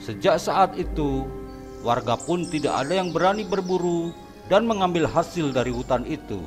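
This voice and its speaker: A man's voice: fairly high pitch, lively intonation, moderate pace, music in the background, close to an everyday voice. A man voicing a nervous, superstitious henchman chief in a horror cartoon, stammering and hesitant. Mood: telling legend. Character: timid, superstitious male henchman boss.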